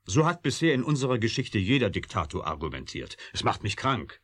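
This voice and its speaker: Husky, deep, impassioned scientist. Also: male voice, sing-song, brisk. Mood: angry, accusing. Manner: urgent, argumentative, intense. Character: impassioned, defiant male scientist pleading for human freedom. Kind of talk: German radio drama acting.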